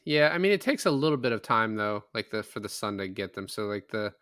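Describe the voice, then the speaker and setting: A man's voice: fairly low pitch, very animated, a little fast, a bit bright, smooth, no room sound. Man chatting casually, dry close sound. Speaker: podcast co-host. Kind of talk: casual film discussion.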